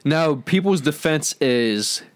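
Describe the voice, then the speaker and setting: A man's voice: on the high side, expressive, slow pace. A man hosting a casual, opinionated film discussion among friends in informal, slangy speech. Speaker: host of an entertainment discussion show.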